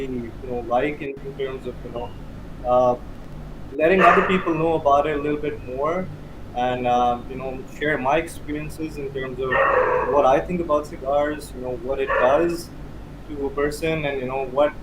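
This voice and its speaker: A man in his thirties with a Pakistani accent, explaining things as an interview guest and often rephrasing himself. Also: mid-range voice. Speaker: interview guest, cigar hobbyist. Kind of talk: casual interview chat. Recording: clear steady background noise.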